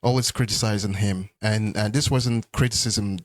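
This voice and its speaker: A man co-hosting a couples podcast, telling stories in a relaxed, unhurried way and leaving sentences for his partner to finish. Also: fairly low pitch, no room sound. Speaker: podcast co-host. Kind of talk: casual conversation.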